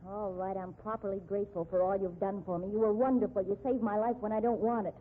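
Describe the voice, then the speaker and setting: A husky female heroine of a 1950s radio mystery, acted by a woman, emphatic and theatrical. The sound is thin and muffled, like an old broadcast. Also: medium pitch, fairly even intonation, quick pace, lightly acted. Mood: bitter, defiant. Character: women in a detective story: cajoling girlfriend, bitter rescued patient. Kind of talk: 1950s radio drama acting. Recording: muffled, narrow-band 1950s radio recording.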